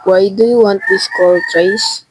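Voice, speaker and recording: A young woman in her teens playing a formal, unhelpful chief telephone operator in an amateur radio drama, polite and measured. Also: mid-range voice, fairly even intonation, slightly rough, no room sound, rough recording. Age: teenager.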